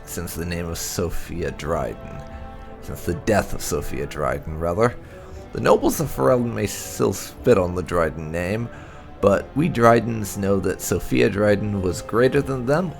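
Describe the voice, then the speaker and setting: A man narrating fantasy game lore aloud, with deliberate, dramatic emphasis as a video host. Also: on the low side, vocal fry.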